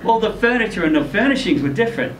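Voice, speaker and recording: High-pitched man reading a story, echoey room. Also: lively intonation, quick pace. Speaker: story reader performing in character as ageing rock singer. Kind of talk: dramatic first-person short story reading. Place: indoor live literary event venue. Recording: echoey room, distant mic, faint noise.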